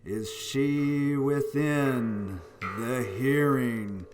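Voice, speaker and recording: A man playing a hollow-voiced ghost husband. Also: mid-range voice. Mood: eerie solemn. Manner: slow, hollow, intoned. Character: ghost of a dead husband, solemn, otherworldly. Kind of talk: 1940s radio drama acting. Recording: music playing behind.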